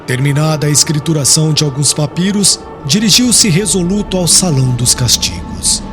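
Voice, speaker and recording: A man's voice: fairly high pitch, animated, normal speed. A man narrating an audiobook in a formal, solemn, dramatic storytelling style. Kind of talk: dramatic scripted narration of religious historical novel. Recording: music clearly behind the voice.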